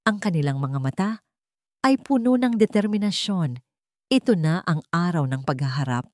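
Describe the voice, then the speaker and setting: A Filipino woman narrating a scripted romantic drama in a measured, melodramatic storytelling manner. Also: fairly low pitch, expressive, darkish tone, dry recording.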